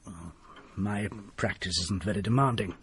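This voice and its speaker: A man's voice: lowish voice, moderate pace, a little hiss or hum, close to an everyday voice. A man playing a desperate secretive country doctor. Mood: grim, hushed. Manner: grave, hushed storytelling. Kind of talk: period radio drama acting.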